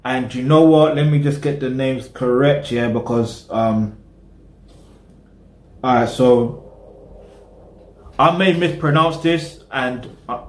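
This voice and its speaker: A man's voice: medium pitch, takes it slowly, pauses often, reverberant. A British man, casually chatting through a music reaction video and rambling with lots of "you know what I mean". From Britain.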